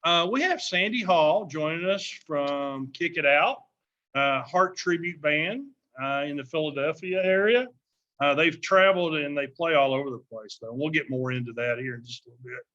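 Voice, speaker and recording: Guy co-hosting a podcast, bright voice, kinda muffled lo-fi audio, lots of uhs. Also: a little high, moderate pace. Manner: relaxed, filler-heavy questioning. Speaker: podcast co-host. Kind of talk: casual podcast chat.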